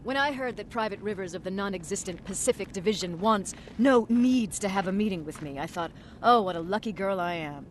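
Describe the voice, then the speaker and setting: An actress playing a sarcastic, menacing military field commander in a video game. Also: female voice, mid-range voice, animated, brisk, hardly any pauses, a little hiss or hum, close to an everyday voice. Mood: scornful, threatening. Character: scornful, authoritative female military field commander. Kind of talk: dramatic video game acting.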